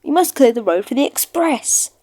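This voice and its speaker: A woman's voice: high voice, expressive, normal speed, warm tone, no echo, voice pushed up. A woman voicing a brusque, bossy male railway signalman in a children's storybook, curt and firm.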